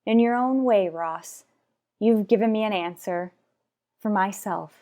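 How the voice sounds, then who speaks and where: A woman playing an anguished, pleading mother in an old-time radio soap, speaking in dramatic, halting phrases. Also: mid-range voice, dark tone, close to an everyday voice. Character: anguished, pleading mother afraid for her child.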